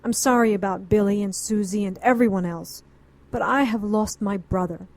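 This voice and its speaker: Actress as intense occultist woman, mid-pitched voice, desperate and indignant, noir audio drama. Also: normal speed, close to an everyday voice. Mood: defensive, anguished. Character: desperate, defiant grieving adult woman, an occultist doctor.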